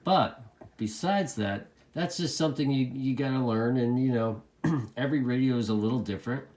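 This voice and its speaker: A man's voice: medium pitch, moderate pace, darkish tone. A man giving a casual hobbyist product review to camera, rambling along and often repeating his phrases.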